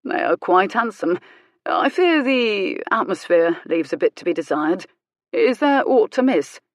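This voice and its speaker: A woman voicing a mild-mannered country vicar in an audiobook romance, measured, pointed and drily ironic with quiet anger. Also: mid-range voice, sing-song, no room sound, studio quality. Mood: mild irony.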